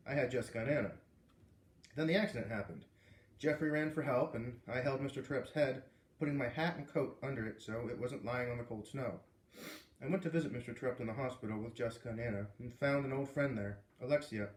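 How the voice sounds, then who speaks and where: Male storybook narrator, mid-pitched, expressive read-aloud delivery, roomy echoing sound. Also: fairly quick, slight background noise. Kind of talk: expressive children's book narration.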